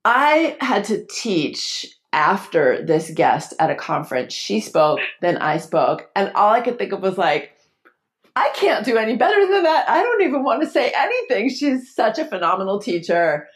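A woman's voice: medium pitch, big pitch swings, moderate pace, husky. A woman hosting a podcast, introducing her guest with enthusiastic, effusive praise. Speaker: podcast host.

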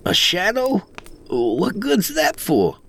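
A man's voice: high-pitched, huge pitch swings, slow, fairly bright tone, voice pushed up, heavily acted. A man playing a bewildered, agitated American greenhorn in a told story.

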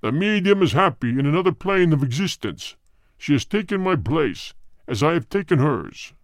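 A man voicing a grave spirit of the dead in a storybook, speaking in a deep, hard, cold voice with formal, measured phrasing. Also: very animated, dry recording. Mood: solemn. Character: solemn spirit of the dead speaking through a medium.

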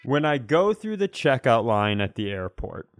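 A man's voice: mid-range voice, big pitch swings, moderate pace, no echo, studio quality, soft background music. A man co-hosting a comedy podcast in casual conversation, rambling through wry anecdotes and speculation.

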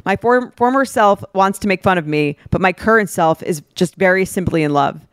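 A woman's voice: lowish voice, moderate pace, smooth, dry recording, clean studio sound. A woman co-hosting a podcast, reading a script aloud with some casual banter. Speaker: podcast co-host.

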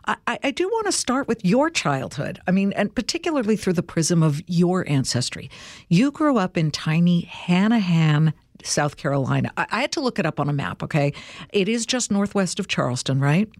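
A woman's voice: on the low side, sing-song, normal speed, darkish tone, no echo, studio quality. A woman hosting an interview, asking questions in a chatty, opinionated way with asides and restarts. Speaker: interview host. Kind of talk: conversational interview.

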